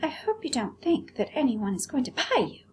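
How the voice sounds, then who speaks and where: A snooty little china doll from a storybook, acted out by a woman, speaks in a very high, squeaky voice. She swoops about with self-important disdain, over faint background noise. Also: big pitch swings, moderate pace. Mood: haughty. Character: haughty, vain female china doll in a shop.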